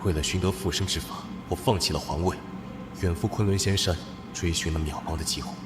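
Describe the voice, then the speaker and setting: A man voicing a deep-voiced fierce general. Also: steady tone, fairly quick, heavy background noise, lightly acted. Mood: commanding. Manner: commanding and possessive. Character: fierce devoted general, young man, protective elder brother. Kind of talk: animated drama dub.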